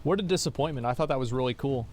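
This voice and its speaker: A man's voice: mid-range voice, racing, darkish tone. A young man talks casually into a desk mic while he plays a game on stream. He answers viewers' questions bluntly, wandering off mid-thought and circling back. A faint background hum sits under his voice. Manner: rambling, blunt, reads out and answers chat questions. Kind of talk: casual chat with livestream viewers while gaming.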